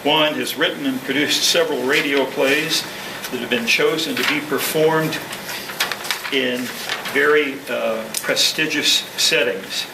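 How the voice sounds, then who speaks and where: A man's voice: medium pitch, a little slow. Distant, echoey man addressing a club. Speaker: club president. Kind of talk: prepared speech read at a club meeting. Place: indoor club meeting room. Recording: echoey meeting room from afar, noisy hum.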